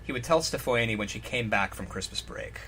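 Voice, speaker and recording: A man's voice: lowish voice, lively intonation, fairly quick, pushed and bright, a little hiss or hum. A man co-hosting a comedy podcast, reading a story aloud and mocking its wording in casual banter. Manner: jokey, mocks the story's wording. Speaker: podcast co-host reading fan fiction aloud.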